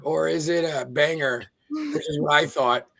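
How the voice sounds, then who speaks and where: A man with a Wisconsin accent co-hosting a casual live stream, easygoing and quick to react. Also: fairly high pitch, sing-song, gravelly, crowd noise. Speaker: co-host.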